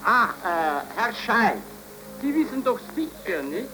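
An actor playing a self-satisfied middle-class tavern regular, drawling and condescending, in a 1930s radio drama. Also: male voice, high-pitched, big pitch swings, slow, heavily acted, poor audio. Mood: condescending, evasive. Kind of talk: 1930s German radio play acting. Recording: old 1930s radio recording with music. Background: music.

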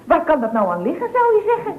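A woman's voice: very high voice, huge pitch swings, quick pace, phone-quality sound, a little hiss or hum, heavily acted. A woman playing a chatty, mischievous office secretary in an old radio comedy, gossipy, teasing and mock-innocent. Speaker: actress in a radio comedy serial. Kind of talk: 1970s radio comedy acting.

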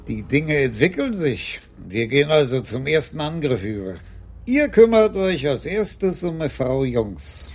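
A man's voice: on the high side, very animated, a little slow, darkish tone. A male actor playing a shrewd, stern police commissioner in a radio crime play, speaking formally and pointedly with dry sarcasm. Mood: resolute. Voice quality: husky, gravelly. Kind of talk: German radio crime drama acting.

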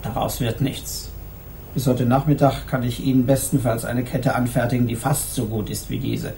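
A gravelly, dark-toned male voice from an actor as a shop craftsman. He is dry, candid and matter-of-fact in this radio drama scene, with a faint rumble of noise behind. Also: steady tone, normal speed, natural voice. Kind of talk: German radio play acting.

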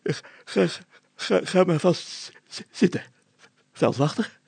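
A pompous village mayor from a children's story, high and rough-edged. He stutters and splutters sneezily, creaking as he swoops through flustered orders. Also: lively intonation, slow pace, a little mellow, no echo, exaggerated voice. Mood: sneezy, stuttering.

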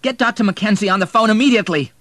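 A man's voice: medium pitch, huge pitch swings, moderate pace, no echo, voice pushed up. A man voicing an earnest, anxious teenage son in an audiobook, urgent and respectful. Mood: urgent, shouting. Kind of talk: audiobook character voice.

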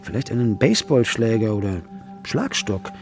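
A man playing a sharp, emphatic defense lawyer in a German radio play. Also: fairly low pitch, big pitch swings, normal speed, faint music behind. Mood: matter-of-fact. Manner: emphatic, challenging.

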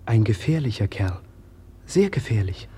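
Earnest, very breathy young lad. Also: male voice, lowish voice, big pitch swings, moderate pace, warm tone, full character voice. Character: earnest, eager young lad, a loyal messenger. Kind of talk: German radio drama acting.

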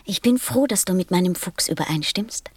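A woman playing a small, innocent and wise boy prince in a radio play, speaking softly and earnestly. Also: fairly low pitch, expressive, brisk, husky, no room sound, studio quality. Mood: quiet, earnest.

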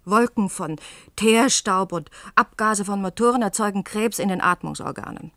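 A woman's voice: medium pitch, normal speed, fairly bright tone, no room sound, clean studio sound, natural voice. An actress playing a passionate, outspoken woman journalist in a German science-fiction radio play, speaking earnestly and insistently. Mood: urgent, accusing.

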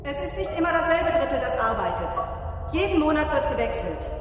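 A woman's voice: high voice, sing-song, fairly quick. An actress playing a matter-of-fact female mayor of a space colony in a radio drama. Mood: explaining. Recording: distant, very echoey hall, music behind.

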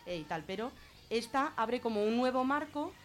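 A woman with a Spanish accent from Spain gives an informal explanation as a radio guest, colloquial and often halting as she thinks aloud. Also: on the high side, animated. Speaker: guest explaining gender-violence legislation on a radio show.

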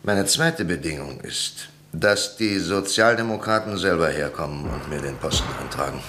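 An actor playing an ironic, proud professor turned politician who talks dryly and briskly in a radio drama. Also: male voice, rumbling low, animated, sharp tone, heavily acted.

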